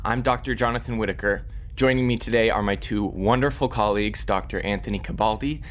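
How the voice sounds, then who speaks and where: A man's voice: lowish voice, fairly quick, lightly acted. An actor playing an earnest, slightly flustered male scientist fronting a broadcast in an audio drama. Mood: earnest, nervous. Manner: earnest, presentational.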